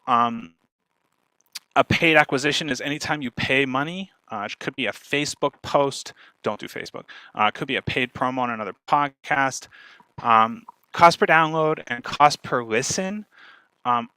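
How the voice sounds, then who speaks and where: A man giving an informal instructional talk as a speaker, talking fast and animatedly and often checking in with his listeners. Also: mid-range voice, no echo, low-quality recording. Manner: fast, animated talker who checks in with "right?".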